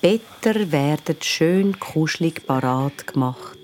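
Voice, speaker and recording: An actress with a Swiss German accent as a soothing, hypnotic narrator of a scripted bedtime sleep story. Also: female voice, deep voice, expressive, slow, a little mellow, slight background noise. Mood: soothing, drowsy.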